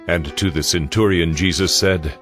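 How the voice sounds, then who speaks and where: A male narrator reads a Bible story from a script. His voice is very deep, and music plays clearly behind him. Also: moderate pace, a bit bright.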